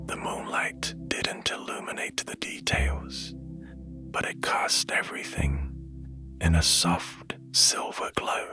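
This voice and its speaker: A man narrating a bedtime story in a hushed, gentle and soothing manner, taking his time. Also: a bass voice. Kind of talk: slow, whispered bedtime storytelling. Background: music.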